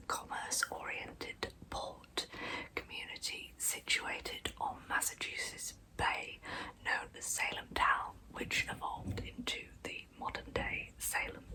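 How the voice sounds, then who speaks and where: A woman whispering breathily and deliberately as she hosts and narrates a true-crime story in ASMR style. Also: deep voice, low-quality recording. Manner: soft, deliberate, slow delivery. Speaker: ASMR video host and narrator.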